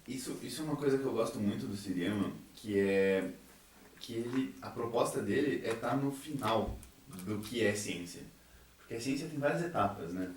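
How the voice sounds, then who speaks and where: A man's voice: on the low side, lively intonation, moderate pace, slight background noise. A young Brazilian man chatting casually on a podcast, giving his opinions in an informal way. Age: young adult. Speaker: university student in a science theatre group. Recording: very echoey room, distant from microphone.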